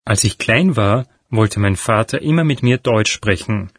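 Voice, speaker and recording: A young man reading a scripted part as a student in a listening exercise, calm and even. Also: fairly low pitch, a little slow, breathy edge, no room sound, clean studio sound, heavily acted. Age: young adult. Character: young Dutch male student, 22.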